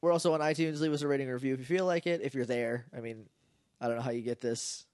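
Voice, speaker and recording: A man co-hosting a casual podcast, chatty and quick to laugh, with a slightly nasal voice. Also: medium pitch, dry recording, clean studio sound. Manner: chatty, laughs often, animated. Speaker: podcast co-host. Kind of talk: casual chat.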